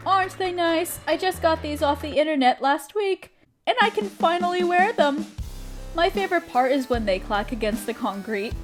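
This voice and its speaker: A woman plays a kindly young witch in an audio roleplay, with a high voice and an unhurried, savoring delivery. She is coy and theatrical, fond of old-fashioned endearments, and swings widely in pitch over a music backing. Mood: teasing, amused. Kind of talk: scripted roleplay audio drama.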